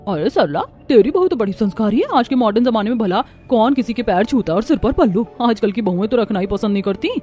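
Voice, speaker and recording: A woman's voice: a little high, expressive, fast, hardly any pauses, warm tone, soft background music, lightly acted. A woman voicing a chatty, forthright, traditional neighbour in a Hindi cartoon story. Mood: approving.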